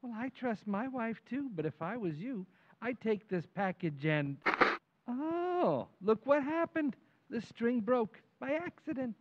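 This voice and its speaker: A sly, needling working-class neighbour in a radio comedy, acted by a man. His voice is high for a man and very animated, wheedling and slangy. The recording is somewhat dull and lo-fi. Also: unhurried, a little hiss or hum, exaggerated voice. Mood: mock-innocent. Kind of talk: old-time radio comedy acting.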